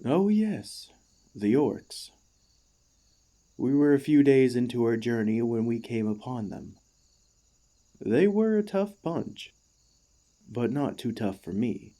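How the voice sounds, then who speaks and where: A man playing an emotional, excitable warforged ex-gladiator in an ASMR roleplay, gentle and devoted, with earnest, formal, halting speech. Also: mid-range voice. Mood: earnest, admiring.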